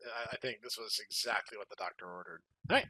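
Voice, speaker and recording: A man's voice: on the low side, fast talker, pushed and bright, poor audio. A man hosting a podcast in casual chat, with a jokey, playfully theatrical way of phrasing things. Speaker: podcast host.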